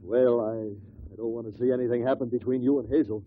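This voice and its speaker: An actor plays a reasonable, concerned business partner in a vintage radio play. His mid-pitched voice is earnest and probing, on a tinny recording with a faint hiss. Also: steady tone, quick pace, lightly acted. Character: worried, reasonable male business partner and friend. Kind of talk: 1950s radio drama acting.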